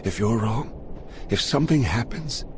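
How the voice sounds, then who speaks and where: An actor playing an anxious, passionate colony scientist in a video game, agitated and earnest. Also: male voice, mid-range voice, animated, moderate pace, noisy background, natural voice. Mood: reluctant.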